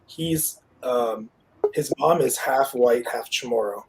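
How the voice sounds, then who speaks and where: Gravelly-voiced father talking casually. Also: mid-range voice, slow pace, a little hiss or hum, low-quality recording. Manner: warm, fatherly, many "like" fillers, quotes his son. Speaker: guest parent. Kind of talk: casual panel conversation.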